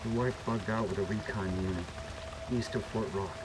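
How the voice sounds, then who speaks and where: A man acting a nervy, defensive government man in a dramatic video game, halting and pleading as he justifies himself. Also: on the low side, steady tone, noisy background, natural voice. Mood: earnest.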